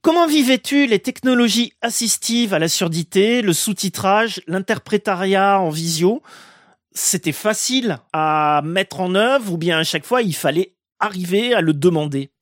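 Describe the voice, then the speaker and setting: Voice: medium pitch, animated, moderate pace, dry recording, studio quality. An interviewer asking structured questions and giving brief encouraging murmurs while listening.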